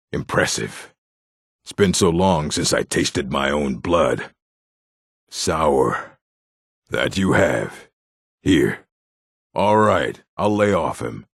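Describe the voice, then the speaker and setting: A proud orc warrior from a fantasy game, with a deep, gravelly voice. He talks bluntly and gruffly, as if squaring up for a fight, and takes his time. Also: no room sound, studio quality, full character voice. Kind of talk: video game character acting.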